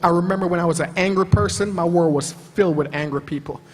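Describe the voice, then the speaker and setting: A husky, fairly high-voiced man from Jamaica speaks on a panel with fervent emphasis, hammering home his points by repeating phrases. His voice rings out with a long reverb in a large hall. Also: fast talker. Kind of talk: passionate motivational panel talk.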